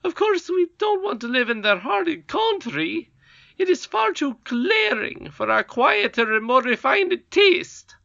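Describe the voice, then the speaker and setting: Woman doing a shrill, imperious goblin queen. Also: very animated, measured pace, vocal fry, bright, forceful tone, dry recording, highs cut off, heavily acted. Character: haughty scheming goblin queen, greedy and cruel.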